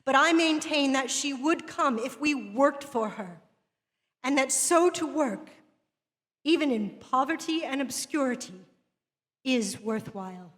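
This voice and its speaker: An earnest woman of letters giving a dramatic lecture. Her high voice moves through measured, rhetorical sentences. It rings with the long reverb of a hall. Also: expressive, a bit bright. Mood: impassioned, resolute. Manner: measured, literary, rhetorical delivery. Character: earnest, eloquent woman writer lecturing to women. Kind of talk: dramatic reading of a literary lecture.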